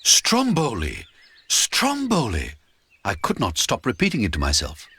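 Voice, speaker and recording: Very animated male storybook narrator. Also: sits low, fast, breathy edge. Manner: theatrical, expressive. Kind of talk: dramatic first-person audiobook narration.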